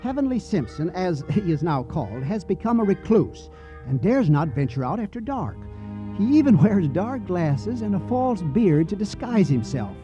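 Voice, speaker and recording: A man's voice: high voice, sing-song, moderate pace, mellow, faint music behind. A man hosting and narrating a 1940s radio comedy in a droll, wry manner. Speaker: host and narrator. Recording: dull 1940s radio broadcast.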